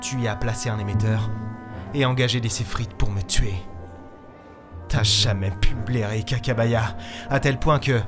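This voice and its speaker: Sci-fi audio drama lead, male actor: world-weary, bitter captain, medium pitch, terse dramatic phrasing, music bed. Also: moderate pace, close to an everyday voice. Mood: cold, accusing. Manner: short, broken, weighty phrases. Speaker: lead character and narrator. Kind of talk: dramatic audio fiction narration. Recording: music behind the voice.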